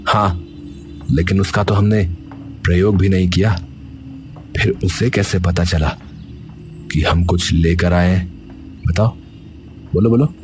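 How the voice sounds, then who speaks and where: A man acts out a suspicious young villager in a cartoon story. His voice sits on the low side, his talk is chummy and colloquial, and music runs clearly underneath. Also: fairly even intonation, unhurried, long pauses. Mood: puzzled, questioning. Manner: colloquial, buddy-like address.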